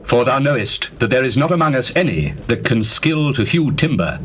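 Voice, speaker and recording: A man's voice: mid-range voice, expressive, moderate pace, lightly acted. An actor playing a devout, stately king in dramatized scripture, speaking formally in archaic English. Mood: commanding, courteous. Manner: formal, declamatory. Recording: faintly noisy old tape recording.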